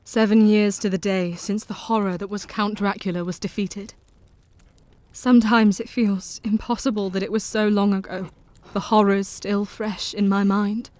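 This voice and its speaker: Woman doing a calm reflective survivor, measured narration, dry close sound. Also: medium pitch, no room sound, lightly acted. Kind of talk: audio drama acting and narration.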